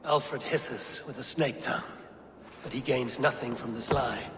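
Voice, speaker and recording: An actor playing a proud, vengeful Viking warrior in a video game, with a blunt, stern and scornful manner. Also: male voice, mid-range voice, unhurried, a bit bright, long reverb tail, slight background noise, natural voice. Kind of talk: dramatic video game acting.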